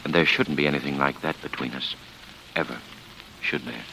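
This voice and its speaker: An actor playing a troubled, placating husband in 1940s radio drama style, speaking in a hesitant, soothing manner. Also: male voice, rumbling low, steady tone, brisk, creaky, pushed and bright, full character voice. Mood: uneasy. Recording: noisy vintage radio recording.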